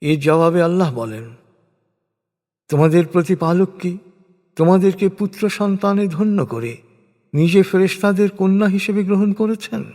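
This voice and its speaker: A man reads translated scripture as a scripted narrator, in a solemn style with measured phrases and deliberate pauses. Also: high voice, a little mellow. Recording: long reverberant hall echo.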